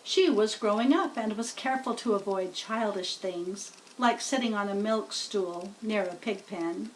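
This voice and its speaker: A woman's voice: medium pitch, measured pace, recorded from a distance, a little hiss or hum, rough recording. A woman narrating a children's story as a read-aloud storybook reader, recorded a little away from the microphone.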